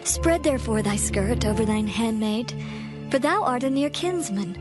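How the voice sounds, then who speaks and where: Actress as devoted young widow, biblical audio drama, higher female pitch, meek, earnest, music underneath. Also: expressive, normal speed, lightly acted. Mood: earnest, submissive. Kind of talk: dramatized scripture acting, archaic English.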